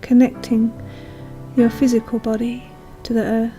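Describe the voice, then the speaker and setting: A woman leads a guided meditation in a soothing, hushed manner, leaving long pauses. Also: on the high side. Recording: soft music behind voice. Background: calm meditation music.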